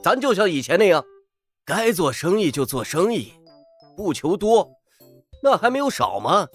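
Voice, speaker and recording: A grumbling, suspicious male shop owner argues with scorn in an audio drama. His pitch swings in animated bursts over faint music. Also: normal speed, husky, exaggerated voice. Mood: scornful. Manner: scornful, argumentative.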